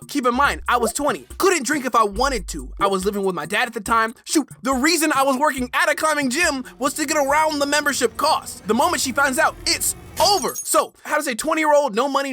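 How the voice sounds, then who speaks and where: A man's voice: extremely high pitch, sharp tone. A young man telling a comedic personal story in a playful, slangy, punchy way, as host of his channel.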